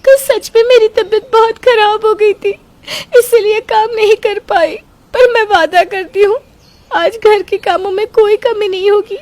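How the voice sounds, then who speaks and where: A manipulative young wife from a cartoon family story, as a woman voices her, with a high, thin voice. She whines and puts on tears in a theatrical way. Every line sounds like a calculated act. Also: steady tone, unhurried, a little mellow. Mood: whining. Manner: theatrical, whining, fake tearful.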